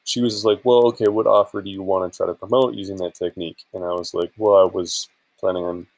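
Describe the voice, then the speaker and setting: Low-pitched, gravelly man rambling casually. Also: fairly even intonation, fast, a little mellow, no room sound, rough recording. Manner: rambling, informal, hesitant. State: tired. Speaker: vlogger and affiliate marketer. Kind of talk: casual screen-share vlog chat.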